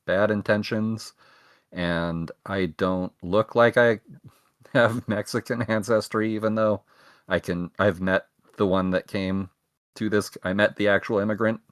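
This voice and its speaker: Low-voiced man with a dry, close sound. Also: fairly even intonation, measured pace, clear, resonant tone, no echo. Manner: rambling, self-mocking jokes. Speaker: podcast co-host. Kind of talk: casual chat.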